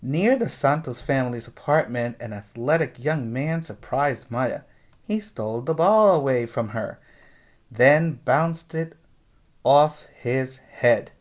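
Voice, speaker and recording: Male teacher, storybook read-aloud, slow and deliberate, middle pitch, talks to little kids. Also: animated. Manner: pauses to ask the children questions. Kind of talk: reading a picture book aloud to preschoolers.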